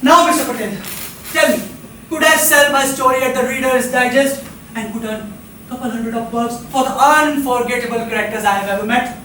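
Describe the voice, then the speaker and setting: A male stage actor playing a lonely, needy drifter who pours out his life story in a halting, insistent, theatrical way. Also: extremely high pitch, pushed and bright, a little hiss or hum. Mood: pleading, wistful. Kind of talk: live stage drama acting. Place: indoor theatre stage, recorded from a distance. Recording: echoey theatre, distant mic, music behind.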